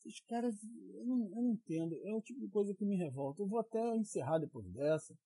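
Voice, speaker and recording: A man from Rio de Janeiro hosting a casual podcast, teasing his co-hosts with quick joking asides. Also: high-pitched, lively intonation, warm tone, low-quality recording. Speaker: podcast host.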